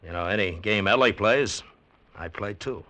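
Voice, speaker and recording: A man's voice: fairly low pitch, moderate pace, bright, forceful tone, no room sound. An American actor playing a hard-boiled, world-weary man in a vintage radio drama, terse and grave. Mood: wry, resigned. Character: hard-boiled, world-weary lovesick male protagonist telling his story. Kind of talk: 1940s radio drama acting and first-person narration. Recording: old-time radio recording.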